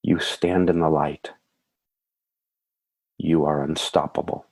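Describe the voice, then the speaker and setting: A man leading a guided meditation in a soothing, measured way, with calm phrasing and gentle affirmations. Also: a bass voice, vocal fry.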